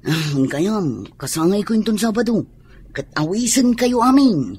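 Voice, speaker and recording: A woman's voice: medium pitch, animated, unhurried, darkish tone, slight background noise, natural voice. An actress playing a friendly mother in a radio drama, sociable and welcoming, with an Ilocano accent. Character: Marjo, a warm mother hosting a birthday.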